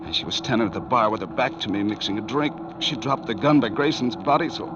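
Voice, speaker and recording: An actor playing a guarded, evasive murder suspect with a terse, understated delivery in a 1940s radio drama. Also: male voice, fairly low pitch, lively intonation, fast, close to an everyday voice. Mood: weak, confessing. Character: guarded male murder suspect, wealthy husband, evasive and menacing. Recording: muffled, noisy old 1940s radio recording.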